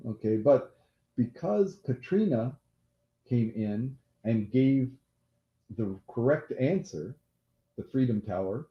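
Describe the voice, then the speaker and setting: A man hosting a casual live-stream quiz game who has a loud voice, repeats each question and apologizes during asides. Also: medium pitch. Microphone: laptop mic. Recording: echoey room, dull lo-fi laptop audio.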